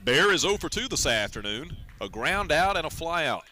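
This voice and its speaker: Male, American accent, baseball play-by-play, radio commentary, higher-pitched, very bright forceful tone, measured descriptive delivery. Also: very animated. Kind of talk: live sports radio commentary.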